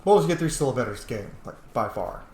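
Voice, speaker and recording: A man's voice: medium pitch, very animated, fast, a little mellow. A man giving casual let's-play commentary on a video game, informal, exclamatory and given to swearing. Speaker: video game streamer, host. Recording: roomy, echoing room sound.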